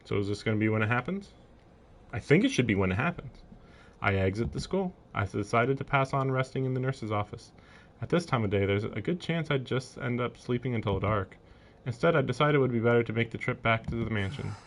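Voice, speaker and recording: A man's voice: mid-range voice, animated, a little fast. Casual male streamer reading aloud. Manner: casual, amused asides. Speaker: livestreamer. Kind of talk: reading a visual novel aloud with casual commentary.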